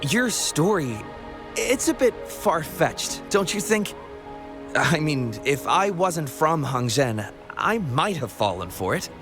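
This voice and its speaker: A man's voice: on the high side, sing-song, slow pace, music in the background. An actor playing a skeptical young local man in a video game, doubtful and hesitant.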